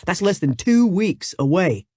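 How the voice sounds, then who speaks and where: A man voicing a composed businessman older brother who speaks in a calm, decisive way. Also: high voice, measured pace, slightly rough, no echo, studio quality, pitched above the performer's own voice, full character voice.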